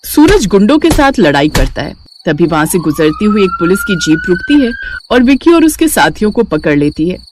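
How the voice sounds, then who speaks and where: A woman's voice: medium pitch, huge pitch swings, brisk, background noise. A woman narrating a scripted family story.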